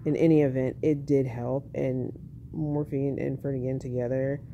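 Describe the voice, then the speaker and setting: A young woman, a tired new mother, telling her birth story in a casual, monotone vlog at home, speaking softly with a baby on her chest. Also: normal speed, vocal fry, highs cut off, slight background noise. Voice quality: monotone, low-key.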